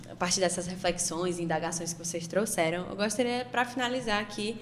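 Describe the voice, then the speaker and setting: Female podcast co-host, slightly high voice, long room reverb. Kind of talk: hosting a panel podcast. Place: makeshift studio at a university campus event. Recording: long reverb, faint ambient noise.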